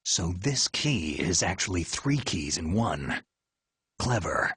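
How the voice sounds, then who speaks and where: A man playing a gruff, terse soldier on a covert mission in a video game. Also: deep voice, very animated, takes it slowly, background noise, full character voice. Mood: terse, focused. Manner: terse, questioning. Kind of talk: video game cutscene acting.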